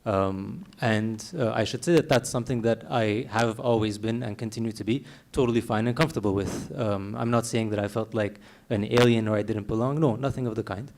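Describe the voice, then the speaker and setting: A young man from Canada whose first language is Armenian, answering thoughtfully on a panel with many pauses and ums. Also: fairly low pitch, a little mellow.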